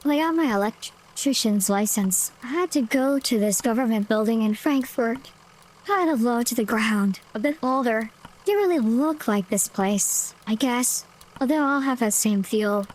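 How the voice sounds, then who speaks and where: A woman voicing a calm, thoughtful electrician on a road trip in a video game, unhurried and reflective. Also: a little high, voice pushed up, close to an everyday voice, low-quality recording.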